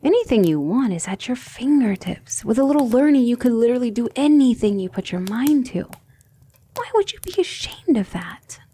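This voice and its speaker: A woman playing a gentle but firm demon tutor in an ASMR roleplay, speaking in a soothing, measured and formal way. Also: on the high side, very animated, breathy edge. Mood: tender, soothing.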